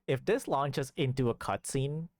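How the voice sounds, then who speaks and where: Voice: medium pitch, animated, unhurried, darkish tone, no room sound. A young adult streamer giving casual, joking and reactive commentary over a video game, recorded on a close mic. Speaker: live streamer.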